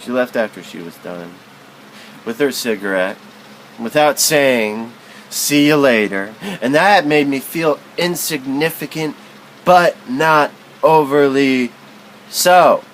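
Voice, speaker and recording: A man's voice: medium pitch, takes it slowly, slight background noise, low-quality recording. A man reading literary prose aloud as spoken word, in a musing, philosophical manner.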